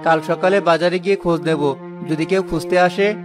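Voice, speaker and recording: An actor playing a poor, honest, devout family man in a cartoon moral tale, speaking in an earnest, measured way. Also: high-pitched, fairly even intonation, music in the background, natural voice. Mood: anxious, earnest. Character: poor, honest porter, a devout, steadfast husband and father.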